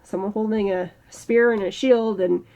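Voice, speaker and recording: A woman in her early thirties talking slowly and reflectively in a personal vlog, with a strained throat. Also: mid-range voice, steady tone, mellow, rough recording. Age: early 30s. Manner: slow, halting, reflective. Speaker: channel host. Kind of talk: personal mental health vlog monologue.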